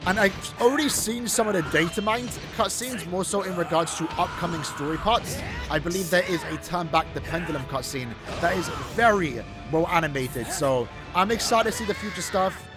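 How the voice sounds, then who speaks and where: High-pitched man doing casual game commentary. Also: moderate pace, few pauses, music in the background. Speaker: gaming video host.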